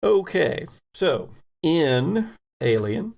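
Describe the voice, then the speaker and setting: A man narrates a tabletop game as its game master and host. He takes his time, setting scenes deliberately in a voice with noticeable fry. The sound is dry and free of room echo. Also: a little high, very animated, a little mellow.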